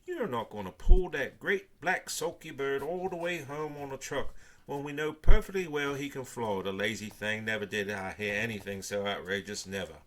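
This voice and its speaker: A man's voice: medium pitch, normal speed, fairly bright tone, pitched above the performer's own voice, natural voice. A man voicing a frantic, scolding mother in a storybook, fussy and full of exclamation. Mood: exasperated fussing. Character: frantic, scolding, exasperated mother, Missus Jones.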